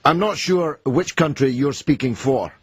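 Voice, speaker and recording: A man's voice: on the high side, unhurried, slightly rough, dry recording, muffled recording. A man from Britain hosting a radio phone-in, combative and questioning his caller. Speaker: radio talk show host. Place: radio studio. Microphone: studio mic.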